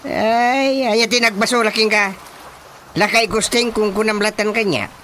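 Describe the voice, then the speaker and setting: A man's voice: high voice, steady tone, moderate pace, sharp tone, a little hiss or hum. An actor playing a cantankerous, flustered old village man in a Filipino comedy radio drama, with a Filipino accent. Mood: scolding, flustered.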